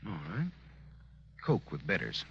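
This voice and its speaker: An American actor playing a wisecracking hard-boiled private investigator in an old radio detective drama, wry and clipped, on a muffled vintage recording. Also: male voice, deep voice, huge pitch swings, a little slow, gravelly, fairly bright tone, slight background noise, full character voice. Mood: wry, flirtatious. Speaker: lead actor and narrator. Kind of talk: old-time radio detective drama, first-person narration.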